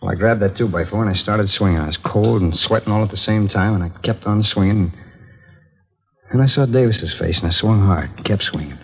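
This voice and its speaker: Muffled hissy 50s radio, american drifter killer, lowish voice, rambling and matter-of-fact about it. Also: male voice, a little fast, husky. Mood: haunted, animated. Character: casual, remorseless drifter confessing to serial killings. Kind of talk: 1950s radio drama acting. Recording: dull, noisy old 1950s radio recording.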